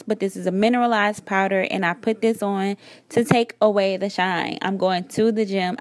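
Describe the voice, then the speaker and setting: A woman's voice: mid-range voice, no room sound. Woman in her thirties, dry chatty voiceover. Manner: relaxed, chatty step-by-step explaining. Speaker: beauty vlogger. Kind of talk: makeup tutorial voiceover. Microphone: voiceover recorded separately.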